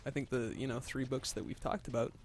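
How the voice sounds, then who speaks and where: A man's voice: medium pitch, steady tone, fast talker, very noisy. A man doing casual let's play commentary, explaining things in a hesitant, thoughtful way. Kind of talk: casual chat over gameplay.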